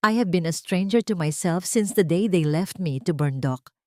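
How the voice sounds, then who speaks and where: A woman's voice: fairly low pitch, animated, normal speed, a little mellow, dry recording, clean studio sound, lightly acted. A woman playing a wounded, betrayed mother in an audio drama, grieving and determined to start over. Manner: weak-voiced, pained but determined. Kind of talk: melodramatic audio drama dialogue.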